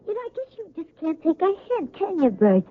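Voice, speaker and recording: A woman's voice: very high voice, huge pitch swings, brisk, heavily acted. An actress playing a blunt, scornful working woman, curt and sarcastic, in a radio drama. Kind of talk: 1970s radio drama acting. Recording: tinny narrow-band radio recording.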